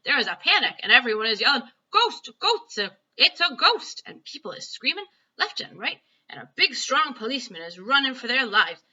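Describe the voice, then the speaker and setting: A woman voicing an excitable, boastful child who tells wild dreams in broken grammar for a children's storybook. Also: high voice, normal speed, hardly any pauses, breathy edge, lo-fi sound, pitched above the performer's own voice, exaggerated voice. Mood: panicked excitement. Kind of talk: children's storybook read-aloud.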